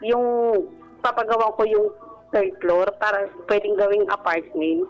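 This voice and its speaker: A Filipino woman calling in to a radio advice show, telling her personal story in a halting, polite way. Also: medium pitch, fairly even intonation, muffled recording. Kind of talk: personal account in conversation. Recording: radio broadcast with music underneath. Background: background music.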